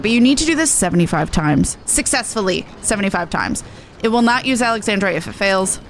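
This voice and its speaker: A woman's voice: medium pitch, fairly bright tone, slight background noise. A woman game streamer giving a casual walkthrough in a chatty, slangy, informal way. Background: game music.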